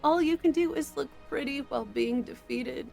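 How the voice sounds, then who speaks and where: A menacing female supervillain played by an actress in an audio drama, with a fairly high voice. She taunts and talks down to her foe with open contempt. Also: moderate pace, gravelly, a little mellow, soft background music. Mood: scornful. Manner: taunting, condescending. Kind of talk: scripted audio drama acting.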